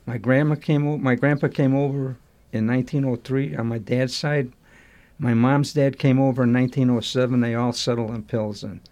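Older man, mellow dark voice, halting reminiscing interview answers. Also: medium pitch, steady tone. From Chicago, USA. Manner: halting, restarts sentences, warmly nostalgic. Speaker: interview guest.

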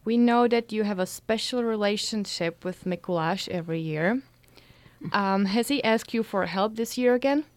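A woman from Bohemia, hosting a radio interview and giving clear, prepared introductions. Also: medium pitch, moderate pace, no room sound. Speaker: radio host. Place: radio studio.

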